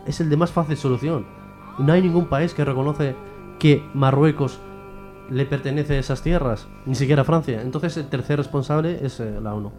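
A man's voice: medium pitch, moderate pace, a little mellow, faint music behind. A man from Western Sahara explaining politics as a radio interview guest, often posing questions and answering them himself. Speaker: guest, member of a Sahrawi association.

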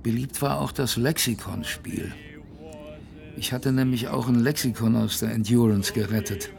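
Actor as a wry, creaky-voiced sailor narrator. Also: male voice, mid-range voice, steady tone, moderate pace, a crowd in the background, close to an everyday voice. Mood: wry, matter-of-fact. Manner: colloquial, wry, matter-of-fact storytelling. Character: plain-spoken young male polar expedition sailor, wry survivor recounting. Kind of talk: first-person radio play narration.

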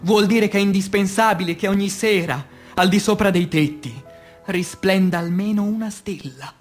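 A man reciting poetry as radio theatre in speech, declamatory, with dramatic pauses, moving between hushed and emphatic delivery. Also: high voice. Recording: music under the voice. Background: music.

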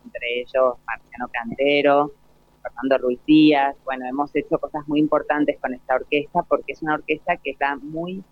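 A man's voice: on the high side, fairly even intonation, moderate pace, dry recording. An Argentine man giving spontaneous, explanatory interview answers, often repeating and rephrasing as he goes. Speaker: orchestra and choir programme coordinator. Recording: thin, phone-like radio sound.

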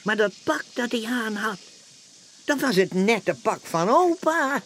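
An actor narrating a radio play as a measured, wry storyteller. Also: male voice, high-pitched, animated, fairly bright tone. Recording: faint noise, old radio play recording.

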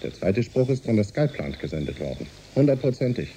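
A man's voice: medium pitch, big pitch swings, fairly quick, mellow, a little hiss or hum, rough recording. An actor in a German radio drama playing a dutiful spaceship engineer reporting to his captain in clipped phrases. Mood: businesslike. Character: uneasy spaceship engineer and navigation officer, subordinate.

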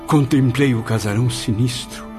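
An actor playing an anguished, earnest spirit with wounded pride, speaking in formal literary diction in a radio drama. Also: male voice, medium pitch, moderate pace, over music, lightly acted.

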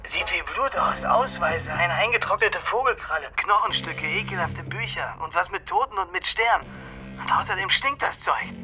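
An actor playing a streetwise, bossy young petty crook in a German radio crime drama, talking in brusque, needling slang. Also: male voice, sits high, moderate pace, lightly acted. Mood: agitated. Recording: music behind the voice.

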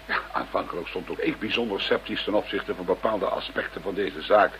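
An actor playing a courteous, measured male investigator in a Dutch radio drama. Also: low-pitched, a bit bright. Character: courteous male investigator, sceptical but open-minded. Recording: muffled, noisy old radio drama recording.

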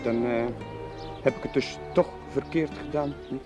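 An actor playing a kind, earnest village priest in a 1970s Dutch television drama, gentle and hesitant in manner. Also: male voice, mid-range voice, normal speed, lightly acted. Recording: 1970s TV soundtrack, music underneath.

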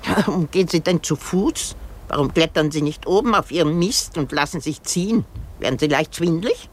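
A woman's voice: low voice, animated, normal speed. Fretful old woman, wildly swooping. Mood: agitated. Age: older. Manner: rambling, theatrical, full of sighs and exclamations. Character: old, fretful, self-pitying, hysterical married woman. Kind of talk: radio drama acting.

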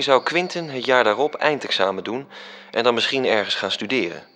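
An actor playing a coolly self-satisfied angel who recounts his schemes in a measured, precise radio drama narration. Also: fairly low pitch, a bit bright, a little hiss or hum.

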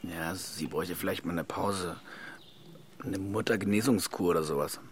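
An actor playing a stressed, suspicious husband in his forties, hushed and urgent, often slipping into whispers in a naturalistic radio drama. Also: sits low, moderate pace, slight background noise, lightly acted. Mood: subdued. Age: 40s.

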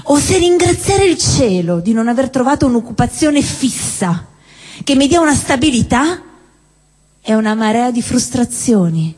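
A woman performing a theatrical spoken-word monologue on stage, declaiming with deliberate phrasing and dramatic pauses. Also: a little high, big pitch swings, darkish tone. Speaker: stage performer at a live show. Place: indoor cinema-theatre venue with long reverb. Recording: reverberant hall, live stage performance.

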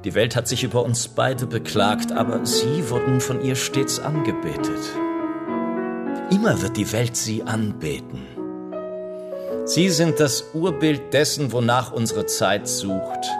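An actor playing a worldly, cynical middle-aged gentleman in a German radio drama, speaking in an urbane, patronising way full of aphorisms. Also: mid-range voice, expressive, unhurried, over loud music, natural voice. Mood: wistful admiring.

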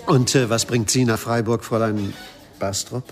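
An actor in a German radio drama playing a courteous, absent-minded older professor with a mild, halting, formal manner. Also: male voice, mid-range voice, steady tone, soft background music, natural voice. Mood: mild bemusement.

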